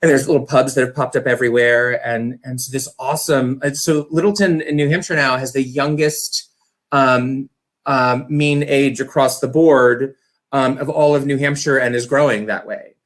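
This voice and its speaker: A man's voice: medium pitch, steady tone, moderate pace. Enthusiastic, rambling man in casual conversation. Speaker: interview guest, community theater director.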